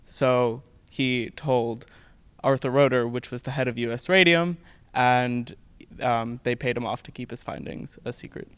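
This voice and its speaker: Very clear-voiced teenage American male. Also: mid-range voice, fairly even intonation, measured pace, no room sound. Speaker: student actor being interviewed. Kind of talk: explanatory interview talk.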